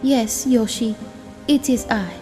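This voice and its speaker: A woman playing a serene, loving spirit wife in an audio comic drama, speaking gently and formally. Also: on the high side, faint music behind, low-quality recording. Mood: tender, reassuring. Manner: formal, gentle, measured speech.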